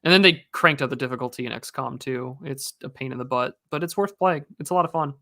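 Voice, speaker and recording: A man's voice: medium pitch, fast, bright, forceful tone, no room sound, clean studio sound. A young man hosting a podcast in casual chat, hesitant and restarting often. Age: young adult. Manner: hesitant, many fillers and restarts. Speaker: podcast host and streamer.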